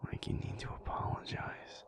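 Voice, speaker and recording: A man playing a tender, weary, protective alpha werewolf in an intimate audio roleplay, speaking gently and haltingly in a breathy, low, often whispered voice. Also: natural voice. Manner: slow, gentle, halting, reassuring.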